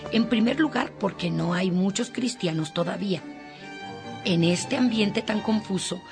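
A woman playing a gentle, dignified mother who speaks affectionately and instructively in a radio drama. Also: fairly low pitch, close to an everyday voice. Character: gentle, dignified mother guiding her daughter.

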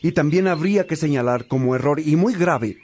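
Serious cleric played by a man for a radio play, warm dark tone, slightly high. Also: normal speed, slight background noise, lightly acted. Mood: grave. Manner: grave and explanatory. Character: serious male cleric pointing out doctrine. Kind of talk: radio drama acting.

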